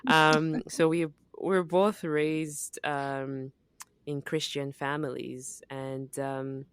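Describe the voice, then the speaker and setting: Very low-voiced, slow-speaking woman. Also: clear, resonant tone, no echo. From Zambia. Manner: relaxed, reflective, many fillers and laughs. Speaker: podcast co-host. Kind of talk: casual conversational podcast.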